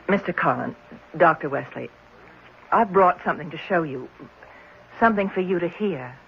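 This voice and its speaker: A woman playing an anxious, polite, upset lady in a 1970s radio drama, with a low, gravelly voice. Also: expressive, slow, long pauses, full character voice. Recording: muffled, noisy 1970s radio recording.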